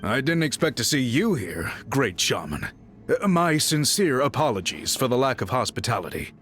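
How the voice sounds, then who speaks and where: Casting: dignified game chief, mid-pitched with hugely varied intonation, formal, courteous, authoritative. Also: male voice, big pitch swings, fairly bright tone, a little hiss or hum. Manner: formal, measured. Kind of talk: video game voice acting.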